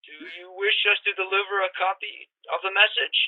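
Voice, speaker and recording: A man's voice: high-pitched, level tone, a little fast. A man playing a courteous, formal telegram clerk in a radio play, reading officiously over a telephone line. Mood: businesslike, courteous. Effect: telephone filter. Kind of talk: 1940s-style radio play acting. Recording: tinny, narrow telephone line.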